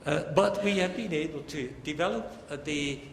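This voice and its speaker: An older man giving a technical academic lecture in a halting, deliberate way. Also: a little high. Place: large lecture hall. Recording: echoey hall with long reverb, background noise.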